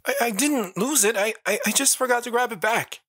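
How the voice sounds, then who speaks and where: A woman playing a timid, naive young schoolboy with a husky voice in an audio drama. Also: a little high, fairly quick, a bit bright, dry recording, studio quality. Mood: nervous.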